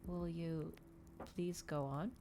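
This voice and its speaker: A woman's voice: on the low side, fairly even intonation, slow, background noise. A woman voicing a nervous, earnest young male interviewer, hesitant in manner, for an audiobook.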